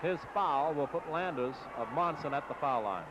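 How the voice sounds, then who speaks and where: A man's voice: on the high side, animated, normal speed, muffled recording, very noisy. An American man calling live basketball play-by-play as a radio announcer in clipped, running phrases on a 1960s AM broadcast. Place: courtside in a large exposition hall.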